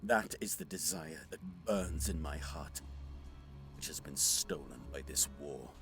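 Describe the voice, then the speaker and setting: An actor playing an arrogant, hot-tempered alien warrior lord in a science fiction audio drama, with a haughty, theatrical manner. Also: male voice, rumbling low, lively intonation, a little slow, fairly bright tone, soft background music, exaggerated voice. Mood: brooding.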